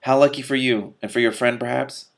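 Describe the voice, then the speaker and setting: A man reading the part of a cool female tree nymph in a fantasy novel, speaking smoothly. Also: mid-range voice, fairly even intonation, a little fast, close to an everyday voice.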